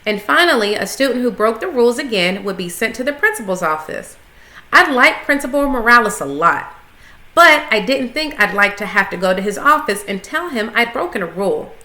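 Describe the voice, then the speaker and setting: A woman reading a children's storybook aloud with expressive, clearly phrased narration. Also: fairly high pitch, fairly quick. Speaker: story reader, school coach. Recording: echoey room sound.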